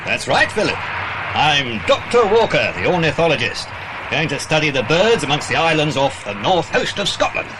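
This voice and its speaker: A man's voice: fairly high pitch, sing-song, moderate pace, noisy background. A man playing a jovial, protective detective and family friend, hearty and reassuring, in a dramatised children's audiobook.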